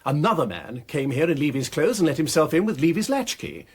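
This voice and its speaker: An actor playing a flippant, breezy aristocratic amateur sleuth in a radio drama, with an upper-class English accent. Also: male voice, fairly high pitch, very animated, fairly quick, darkish tone, slightly rough. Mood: dramatic.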